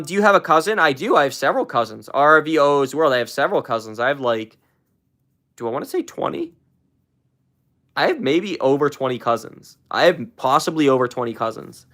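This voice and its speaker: A streamer reading out the chat on a live broadcast. The talk meanders, full of fillers and repeats. It keeps leaping into a high, excited register to thank viewers for gifts, with very lively swings in pitch. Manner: hyped, shouting out viewers, jumps way up in pitch when thanking for gifts; rambling with many fillers and repeats.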